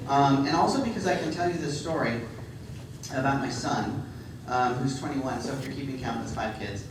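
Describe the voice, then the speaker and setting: A man's voice: mid-range voice, normal speed. A middle-aged man giving a humorous conference talk, self-deprecating and full of ums. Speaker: conference speaker. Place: large hall with audience. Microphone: handheld microphone. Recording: echoey hall, distant mic, background noise.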